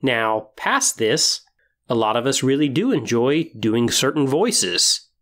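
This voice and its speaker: Male podcast host, medium pitch, measured and explanatory solo delivery with emphasis on key phrases. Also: very animated, fairly bright tone. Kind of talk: podcast monologue giving tips on tabletop roleplaying.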